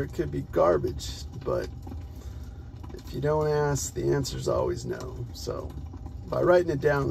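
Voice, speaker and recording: A man's voice: fairly high pitch, vocal fry, dark tone, slight background noise. An American man chatting casually to viewers in an upbeat, rambling way, as a solo vlogger. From United States. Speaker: spoken word poet and vlogger. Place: parked car, talking alone.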